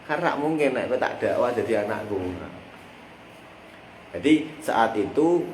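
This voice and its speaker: A man's voice: medium pitch, animated, measured pace. Javanese-accented man teaching a religious lesson. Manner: explains with everyday examples, asks questions and lets listeners finish his sentences. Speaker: teacher of a classical Islamic text. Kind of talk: religious study lesson, explaining in mixed Indonesian and Javanese. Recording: echoey room with faint background noise.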